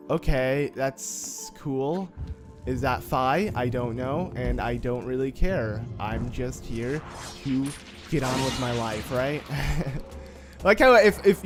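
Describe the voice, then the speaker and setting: A young man with a slightly raspy voice giving casual, joking commentary over a video game he is playing, full of fillers and self-mocking asides. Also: mid-range voice, animated, unhurried. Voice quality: slightly raspy from just waking. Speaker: gameplay commentator. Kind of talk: casual let's-play commentary. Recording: game music faint underneath. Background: game music.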